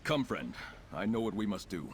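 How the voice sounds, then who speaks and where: A man's voice: on the low side, big pitch swings, moderate pace, a crowd in the background. A man voicing an earnest knight commander in a video game, grave and commanding. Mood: resolute, urgent. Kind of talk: video game dialogue acting.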